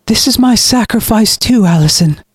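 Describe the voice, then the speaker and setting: A man's voice: high-pitched, expressive, moderate pace, breathy edge, no echo, clean studio sound, pitched above the performer's own voice. A man voicing a cold, mocking, murderous brother who speaks with grandiose piety in an audiobook. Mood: menacing. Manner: grandiose, sanctimonious, taunting.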